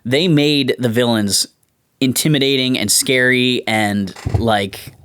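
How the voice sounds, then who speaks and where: A man's voice: mid-range voice, slow pace, fairly bright tone, clean tone. A man hosting a casual podcast chat, talking in an easygoing way and thinking aloud as he goes. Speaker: podcast host. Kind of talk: casual chat about toys and cartoons.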